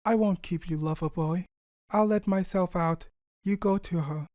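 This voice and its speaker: A man's voice: high-pitched, moderate pace, darkish tone, dry recording, clean studio sound, close to an everyday voice. A man voicing a kindly, reassuring female pony friend in a storybook reading, earnest and affectionate. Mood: gentle, encouraging.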